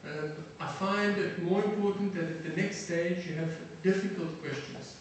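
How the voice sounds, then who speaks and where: A man's voice: sits high, fairly even intonation, recorded from a distance. Middle-aged man from South Africa lecturing an audience, hesitant with many fillers, in a reverberant hall with faint noise. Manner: halting, many fillers and restarts. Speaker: defense attaché giving a lecture.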